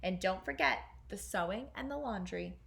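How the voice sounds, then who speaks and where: A woman's voice: medium pitch, lively intonation, normal speed, vocal fry, fairly bright tone. A woman voicing a cruel, sly middle-aged stepmother, stern and commanding, for a fairy tale.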